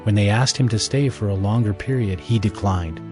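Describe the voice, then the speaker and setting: A man narrating scripted audio Bible scripture as a measured, solemn storyteller. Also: lowish voice, music in the background.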